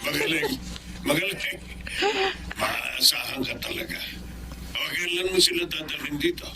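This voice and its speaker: An actor playing a shady, commanding crime boss in a radio drama. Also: male voice, fairly high pitch, moderate pace, vocal fry, bright, forceful tone, heavily acted. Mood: calm, commanding. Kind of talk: Tagalog radio drama acting.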